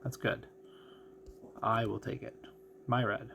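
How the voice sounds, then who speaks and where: Creaky-voiced man musing over a game. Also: mid-range voice, level tone, slow, noisy background. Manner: musing, thinking out loud. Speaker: gaming streamer. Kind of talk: casual live game commentary.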